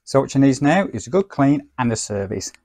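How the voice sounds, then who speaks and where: A man presents in an informal, chatty way, as if walking someone through a gadget. His voice sits at an ordinary pitch. The sound is dry, with little echo around it. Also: animated, brisk, darkish tone. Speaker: presenter of a vintage radio video. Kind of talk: casual spoken demonstration.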